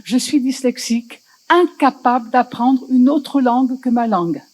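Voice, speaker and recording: A woman's voice: high-pitched, normal speed, darkish tone. A woman in the audience speaks passionately about language, often searching for her words. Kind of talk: festival panel discussion.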